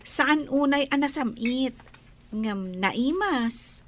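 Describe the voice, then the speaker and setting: A young, sociable single woman in an Ilocano radio drama. Her voice sits high, and she speaks in a cheerful, conversational way. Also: lively intonation, slow, noisy background.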